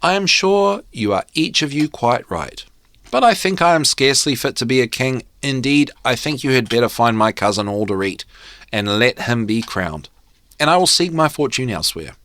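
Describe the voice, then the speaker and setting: A man's voice: medium pitch, lively intonation, moderate pace, fairly bright tone, dry recording, lightly acted. Guy reading a humble young storybook king, polite n earnest, really melodic, dry sound. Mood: humble, resigned. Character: meek, courteous young king, eager to step down.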